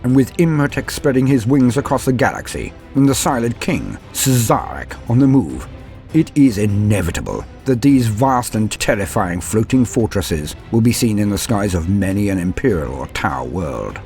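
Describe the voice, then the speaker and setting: Deep voiced guy doing grave dramatic lore narration, gravelly, music underneath. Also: animated, darkish tone. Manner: grave, theatrical, measured storytelling. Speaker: lore channel narrator and host.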